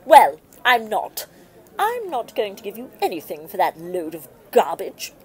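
Mother, female actor: bossy, selfish snob for comic audio drama, hugely animated delivery with a rough edge. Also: medium pitch, unhurried, full character voice. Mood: scornful, bossy. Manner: imperious, scornful, emphatic. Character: haughty, selfish, bossy, indignant well-to-do mother.

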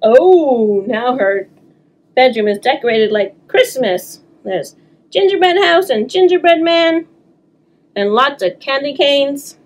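A woman's voice: high voice, lively intonation, slow. A middle-aged woman doing a children's story time, reading aloud expressively with teacherly asides.

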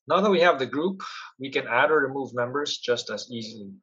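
Male demonstrator for app tutorial, step-by-step delivery, husky tone, dull lo-fi audio. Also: medium pitch, animated, fairly quick, fairly bright tone. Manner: instructional, step-by-step. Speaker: product demonstrator. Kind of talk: software tutorial narration.

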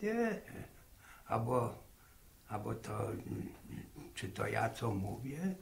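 A man's voice: medium pitch, big pitch swings, drawn-out words, gravelly, heavily acted. An older actor playing a genial, rambling village grandfather who repeats his words, in 1960s Polish radio drama style. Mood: wistful, coaxing. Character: old village grandfather, garrulous storyteller, genial and wistful.

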